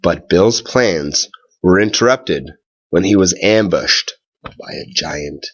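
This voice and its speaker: A man reading a storybook aloud with expressive, deliberate, dramatic phrasing. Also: sits low, muffled recording.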